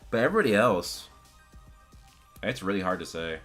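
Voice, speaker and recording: A man's voice: low-pitched, very animated, normal speed, a bit bright, soft background music. A man live-streaming, chatting casually and answering viewers' questions, his voice getting scratchy from a long day of talking. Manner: answers chat questions, rambling with restarts. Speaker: streamer discussing anime with chat.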